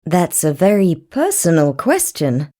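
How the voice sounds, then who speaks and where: Slow, mellow woman reading a lesson. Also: low-pitched, wildly swooping pitch, dry recording, studio quality. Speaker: language course narrator and dialogue reader. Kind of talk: slow, clearly read textbook dialogue.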